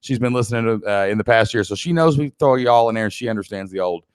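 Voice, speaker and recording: A man's voice: on the low side, animated, fast, smooth, no room sound, clean studio sound. A man from Kentucky with a Southern accent, presenting a live online business training as a coach in a folksy, emphatic, motivational way. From Kentucky, United States.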